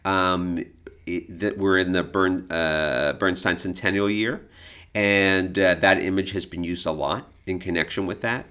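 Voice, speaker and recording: A man with a low-pitched voice talks as a podcast co-host. He explains things conversationally, in a measured way, pausing and hesitating as he goes. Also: sharp tone, clear, resonant tone. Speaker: podcast co-host, art expert.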